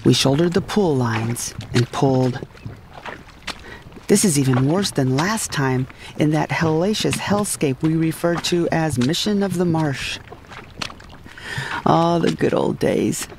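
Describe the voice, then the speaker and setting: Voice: mid-range voice, creaky, background noise. A narrator telling a first-person sci-fi comedy story in a florid, dramatic, scripted storytelling style. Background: music behind the voice.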